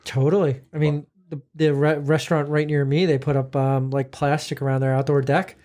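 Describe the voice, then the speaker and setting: Upbeat rambling man, fairly high voice. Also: level tone, fairly quick, dark tone. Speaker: podcast co-host. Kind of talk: casual chat.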